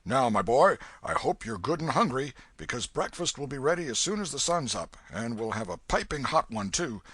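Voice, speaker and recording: Hearty old Welsh farmer, booming and fatherly, voiced by a male reader, animated. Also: moderate pace, husky, no echo.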